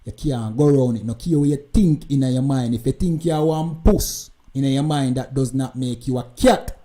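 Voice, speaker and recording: A man's voice: medium pitch, normal speed, mellow. A Jamaican man hosting a live stream, talking emphatically and repeating "you know what I mean" as he comments.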